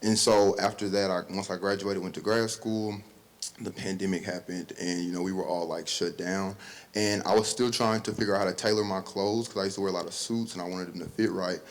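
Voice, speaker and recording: A man from Mississippi, a podcast guest, telling his story in a relaxed way. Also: sits low, brisk. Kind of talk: casual conversation.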